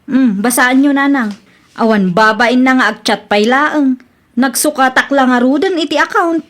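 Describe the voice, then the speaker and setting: A woman's voice: high voice, moderate pace, a bit bright. A woman playing an earnest, easily exasperated young engaged woman in an Ilocano Filipino radio comedy drama. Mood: annoyed.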